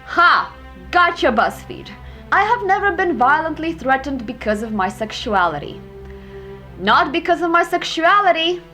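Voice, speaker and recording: A young woman vlogger talks to camera in a high, bouncy voice, reading quiz questions aloud and snapping back with sarcastic, profane answers. Soft music plays faintly underneath. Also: sing-song, normal speed. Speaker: YouTuber. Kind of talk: reacting to online quizzes to camera.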